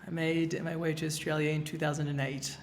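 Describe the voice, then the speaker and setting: A man in his thirties from Venezuela, a podcast guest speaking in a relaxed way, with fillers and restarts. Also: on the high side, brisk, a little hiss or hum. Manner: relaxed, with fillers and self-corrections. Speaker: guest, NFT project co-creator. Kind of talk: casual podcast interview. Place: Mexico, where he is now.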